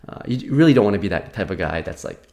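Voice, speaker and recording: A man's voice: low-pitched, lively intonation, fast, creaky, mellow, a little hiss or hum. A young man giving a casual market update while slightly sick, rambling with many fillers and restarts. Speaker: crypto market commentator.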